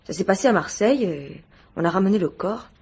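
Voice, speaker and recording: A woman's voice: medium pitch, lively intonation, a little fast, vocal fry, a little mellow, slight background noise. An actress playing a nervous, gossipy housemaid in her thirties in a French radio mystery, hesitant and confiding. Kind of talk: French radio play acting.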